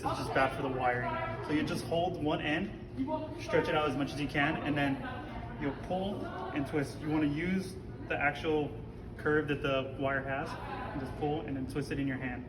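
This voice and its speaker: Young man, casual tutorial, noisy room recording. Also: on the high side. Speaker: instructor. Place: large indoor hall. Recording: steady background noise, room ambience.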